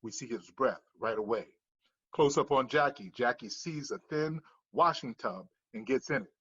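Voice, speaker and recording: A man's voice: medium pitch, normal speed, a bit bright, breathy edge, muffled recording. A man narrating the stage directions of a screenplay at a table read.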